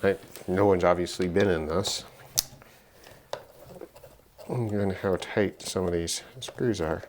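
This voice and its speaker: Slow-talking, musing man at a workbench. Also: deep voice, fairly even intonation, darkish tone, slight background noise. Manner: halting, musing, thinking aloud. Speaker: electronics hobbyist presenter. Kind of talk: unscripted teardown commentary.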